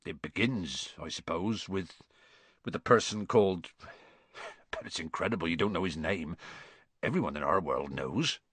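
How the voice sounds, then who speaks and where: A man voicing a gruff, kindhearted, hot-tempered giant with a folksy dialect and halting speech in an audiobook story. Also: low voice, expressive, fairly bright tone, dry recording, pitched below the performer's own voice, full character voice. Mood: worried.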